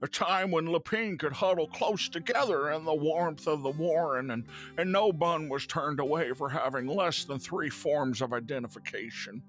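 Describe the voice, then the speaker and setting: A man's voice: fairly high pitch, normal speed, few pauses, fairly bright tone, soft background music, close to an everyday voice. A man voicing a flustered, folksy rabbit android in a spoof radio commercial, stammering and repeating words with an old-timey movie-star drawl. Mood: nostalgic.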